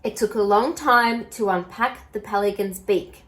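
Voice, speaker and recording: A woman storyteller reading a children's picture book aloud in an expressive style. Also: mid-range voice, unhurried.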